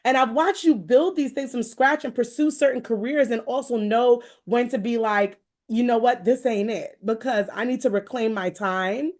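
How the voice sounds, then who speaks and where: An American woman hosting a conversational, faith-based interview, speaking in an effusive, rambling way with expressive asides. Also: fairly high pitch, normal speed, hardly any pauses. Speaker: show host interviewing a guest.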